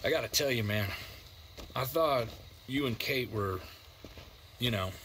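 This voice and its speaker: An actor playing a weary, loyal survivor in a video game, plainspoken and folksy, with an American accent. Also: male voice, mid-range voice, measured pace, fairly bright tone, slight background noise, natural voice. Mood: rueful, reflective. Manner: folksy, colloquial, reflective. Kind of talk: naturalistic video game drama acting.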